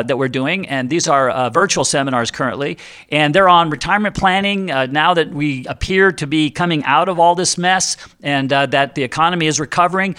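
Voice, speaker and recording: A man's voice: on the high side, sharp tone, clean tone. A man hosting an informal advice show as a financial advisor, chatty and folksy, talking straight to his listeners. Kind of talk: informal radio-style advice show, reading and answering listener questions.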